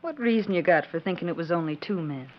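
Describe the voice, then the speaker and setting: A woman's voice: fairly low pitch, expressive, fast talker, close to an everyday voice. A woman playing a bossy, scolding frontier ranch wife with a rural Western American accent, in 1940s radio western style. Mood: skeptical. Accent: rural American West. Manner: bossy, nagging. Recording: dull old 1940s radio recording.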